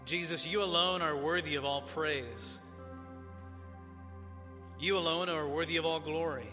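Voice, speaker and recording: A man who grew up in Tanzania, preaching as a pastor in a church, praying and then teaching in a measured, earnest cadence. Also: fairly high pitch, bright, forceful tone, faint music behind. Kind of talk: sermon with opening prayer. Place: church sanctuary. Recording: church hall reverb.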